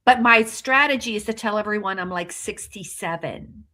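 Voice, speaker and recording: A woman's voice: mid-range voice, normal speed, sharp tone. A woman in her sixties chatting casually on a panel in a playful, emphatic way. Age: 60s. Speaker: panelist on a small business show. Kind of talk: casual chat.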